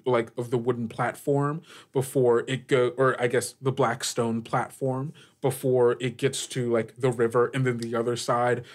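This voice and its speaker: A man narrating an improvised tabletop game as game master, feeling his way through descriptions with frequent "like". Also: medium pitch. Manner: halting, searching descriptions with frequent "like".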